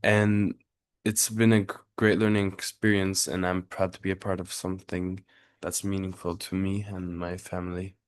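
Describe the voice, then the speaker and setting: Low, flat-voiced young man presenting. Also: moderate pace, clear, resonant tone, dry recording, clean studio sound. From Turkey. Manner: earnest, with restarts and fillers. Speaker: student giving a class speech. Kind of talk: prepared class presentation.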